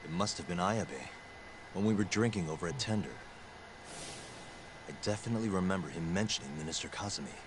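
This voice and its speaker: A man's voice: sits low, a little fast, pauses often, background noise, close to an everyday voice. An actor playing a cool-headed male detective in a game, blunt and questioning. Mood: pondering.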